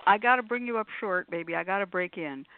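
A woman's voice: medium pitch, fast talker, a loud crowd. A woman hosting a casual live talk show, chatty and effusive, with emphatic asides. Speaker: radio show host. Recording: phone-like, narrow-band sound.

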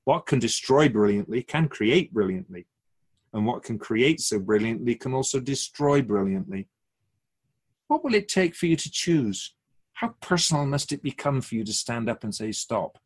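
A man playing a calm, wise otherworldly keeper of souls in a radio drama, speaking formally and instructively to humans. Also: medium pitch, slightly rough. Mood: solemn, urging. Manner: measured, formal, didactic, rhetorical questions. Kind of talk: radio drama dialogue, philosophical counsel.

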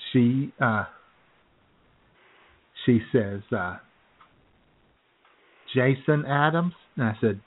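A man co-hosting a chat show, telling a story in a relaxed way, often chuckling and clearing his throat. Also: medium pitch, very slow, pauses often. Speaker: podcast co-host. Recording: phone-like lo-fi audio.

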